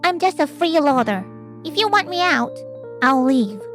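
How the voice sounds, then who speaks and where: A woman's voice: sits high, very animated, normal speed, a bit bright, faint music behind. A woman voicing a proud, easily hurt young woman in a manga dub. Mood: hurt, defiant.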